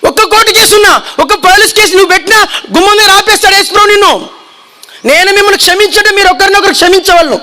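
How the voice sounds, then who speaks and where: High-pitched, fiery preacher man. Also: steady tone, rapid-fire, slight background noise. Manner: shouting, repeats lines and makes the crowd repeat them. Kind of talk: fiery sermon.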